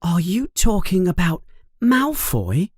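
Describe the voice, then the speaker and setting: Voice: medium pitch, sing-song, slow pace, a little mellow, husky, dry recording, clean studio sound, pitched above the performer's own voice, exaggerated voice. A narrator voicing an earnest, bossy, inquisitive schoolgirl in a storybook, with an emphatic, questioning manner. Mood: puzzled, incredulous. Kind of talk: storybook character voice.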